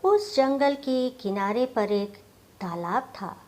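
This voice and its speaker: A woman's voice: on the high side, lively intonation, slow, a little mellow. A woman narrating a scripted moral story for children.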